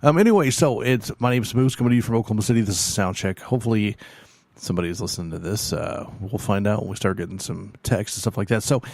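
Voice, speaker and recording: A man hosting a late-night radio show, hoarse as he loses his voice, rambling and halting with many fillers, a quiet person by nature. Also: lowish voice, no echo. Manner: self-described quiet person. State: losing his voice.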